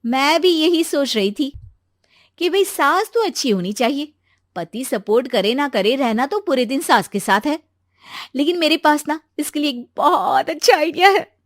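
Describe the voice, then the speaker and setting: A high, girlish voice put on by a woman storyteller for an eager young bride-to-be in a moral story. She is chatty, animated and outspoken. The sound is close and dry. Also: moderate pace, no room sound.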